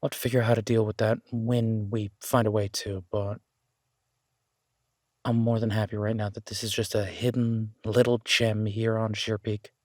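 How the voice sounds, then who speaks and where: A man's voice: lowish voice, level tone, moderate pace, a little mellow, no room sound, clean studio sound, close to an everyday voice. A man playing an easygoing, affectionate chef in an audio roleplay, talking in a casual, folksy, reassuring way. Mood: relaxed, affectionate. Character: easygoing down-home male chef, affectionate restaurant owner.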